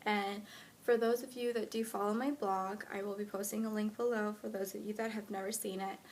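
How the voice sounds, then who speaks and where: A woman's voice: medium pitch, fairly quick. A woman of twenty-one with an American accent, chatting casually to followers as a fitness vlogger. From United States, lived in Honduras when younger. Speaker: fitness blogger introducing self to followers. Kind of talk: casual vlog chat answering questions. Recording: clear steady background noise.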